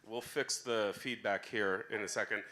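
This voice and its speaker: A man's voice: on the low side, steady tone, moderate pace, bright, forceful tone. A man co-hosting a live chat in a bar, welcoming the crowd in a chatty, upbeat way with many fillers. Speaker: co-host.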